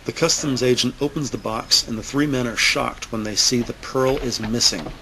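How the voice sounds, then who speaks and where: A man narrating an audio drama in a deliberate, suspenseful scripted style with emphatic pauses. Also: mid-range voice, bright, forceful tone, a little hiss or hum, poor audio.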